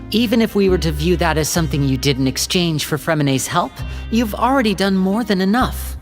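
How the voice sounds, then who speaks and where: A man voicing a young stage magician who explains a plan in a calm, measured, composed way, in a video game. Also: on the high side. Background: soft game music.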